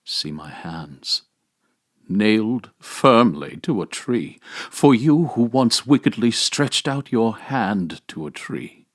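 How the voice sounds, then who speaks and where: A majestic male divine figure, acted by a man reading aloud, commanding and tender in a sacred dramatic reading. The voice is lowish and very slow. It declaims with solemn emphasis, rising and falling widely. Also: big pitch swings, full character voice. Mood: grave, tender. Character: Christ risen among the dead, majestic, commanding, tender.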